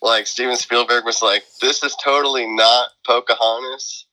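A man's voice: mid-range voice, steady tone, moderate pace, sharp tone, low-quality recording. A young man chatting as a podcast guest. He is easygoing and hesitant, laughs a lot and trails off mid-sentence. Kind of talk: casual chat.

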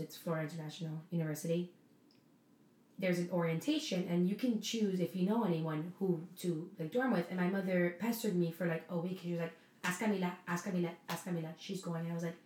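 A young woman in her early twenties talks in a relaxed, rambling way and laughs at herself as she goes. Her voice sits a little low for a woman. The room gives it a noticeable echo. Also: fast talker. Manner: giggly, rambling, self-deprecating. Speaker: podcast co-host. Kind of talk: casual chat. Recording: echoey room.

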